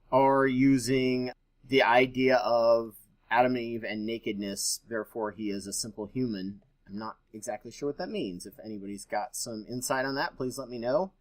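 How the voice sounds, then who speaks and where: A man reading aloud and adding his own informal commentary as host, with a skeptical, dryly sarcastic manner. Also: mid-range voice.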